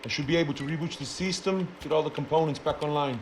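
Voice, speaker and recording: An actor playing a timid young office computer technician with a Brazilian accent, anxious and hesitant, in a video game cutscene. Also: male voice, on the high side, fast talker, a little hiss or hum, lightly acted. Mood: nervous, hesitant.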